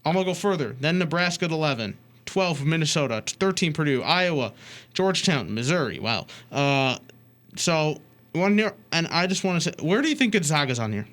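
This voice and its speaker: A man's voice: fairly high pitch, sing-song, fairly quick, fairly bright tone. A man co-hosting a sports talk show, casually debating and putting challenging questions to his partner.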